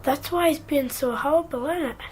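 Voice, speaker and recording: A woman's voice: high voice, brisk, slight background noise, natural voice. A performer voicing an earnest, stubborn, dreamy young boy in a radio drama, naive and insistent, with a North East English accent. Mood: subdued, questioning. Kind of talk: naturalistic 1990s radio drama acting.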